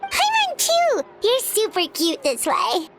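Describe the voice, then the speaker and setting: A woman voicing a tiny, excitable fairy companion in a game, squeaky and childlike, with a perky, expressive manner. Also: takes it slowly, heavy background noise, heavily acted. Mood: delighted. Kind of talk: cartoonish game acting. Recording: music behind the voice. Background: clear background music.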